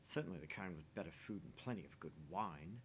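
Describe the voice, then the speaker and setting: A man's voice: expressive, a little fast, gravelly, fairly bright tone, exaggerated voice. A man voicing a smug, jaded husband in a visual novel, mocking and condescending as he teases. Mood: teasing. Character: smug, sneering, jaded married man teasing his dinner date. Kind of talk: voice-acting visual novel dialogue. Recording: faint background rumble and noise.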